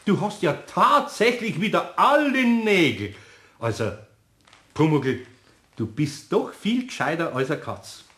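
A man's voice: fairly high pitch, very animated, measured pace, bright, forceful tone, full character voice. An actor playing a good-natured older master craftsman with a Bavarian accent and a homely, muttering manner in a children's radio play. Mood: amused, approving.

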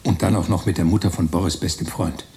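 An actor playing a wry, digressive narrator in a literary radio play, musing in a conversational, ironic way. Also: male voice, deep voice, steady tone, fast, dark tone, lightly acted. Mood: fussy, grumbling.

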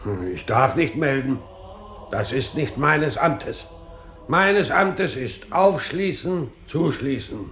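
Slow, stubborn gatekeeper. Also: male voice, mid-range voice, lively intonation, fairly bright tone, faint music behind. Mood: stubborn, officious. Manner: halting, repetitive, literal-minded servant talk. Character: stubborn male gatekeeper, slow-witted and officious. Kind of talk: German radio play acting.

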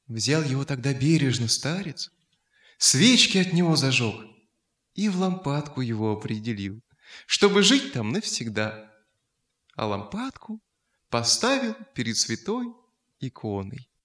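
A man narrating children's fairy tales as a storyteller, in an expressive scripted style. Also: medium pitch, measured pace, echoey room.

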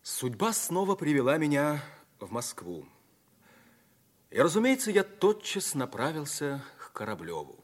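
An actor playing an earnest, reflective man narrating his own life in a 1950s radio play, with deliberate stage diction. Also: on the high side, huge pitch swings, exaggerated voice. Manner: emphatic, deliberate stage diction with dramatic pauses. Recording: old radio recording, music underneath.

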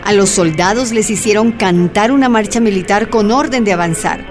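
A woman's voice: medium pitch, normal speed, over music, natural voice. A woman narrating a scripted radio drama as an earnest storyteller.

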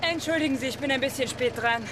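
An actress playing a brisk, bossy, cold-blooded woman with a clipped, sardonic manner in a radio thriller. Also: high voice, background noise, natural voice. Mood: brisk, hurried. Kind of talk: German radio thriller acting. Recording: music bed behind voice.

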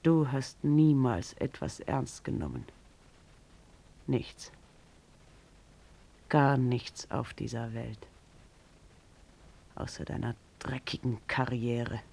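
A man playing a bitter, contemptuous accuser in a radio drama, with a creaky voice near a whisper and drawn-out reproach. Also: medium pitch, mellow.

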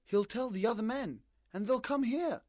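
A man's voice: very high-pitched, moderate pace, darkish tone, no echo, clean studio sound, voice pushed up. A man voicing a brave young boy in an audiobook, speaking urgently and pleadingly.

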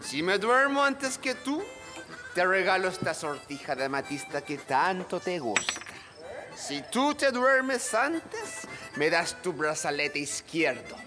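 A man's voice: sits high, very animated, slow, full character voice. An actor playing a hot-headed, commanding man in a pirate radio drama, theatrical and swaggering, greedy and boisterous. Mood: tipsy, teasing. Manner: theatrical, swaggering, swinging from mutters to shouts. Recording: music under the voice.

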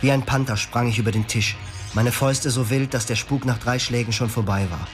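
A man's voice: mid-range voice, steady tone, a little fast, music in the background, lightly acted. An actor playing a husband who narrates his own story in first person in a radio drama. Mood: brooding.

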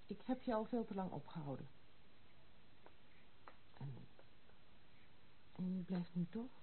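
A woman's voice: on the low side, lively intonation, measured pace. Frank young noblewoman, thin old recording. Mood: brisk. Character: proud, blunt, tomboyish young noblewoman nicknamed the Major. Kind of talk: Dutch radio play acting. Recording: tinny, band-limited, noisy old radio recording.

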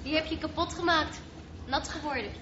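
A woman's voice: high voice, moderate pace, bright, forceful tone, roomy sound. An actress playing a casual, cool but curious teenage girl in a naturalistic radio drama. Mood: cool, reluctant. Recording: muffled, noisy radio drama recording.

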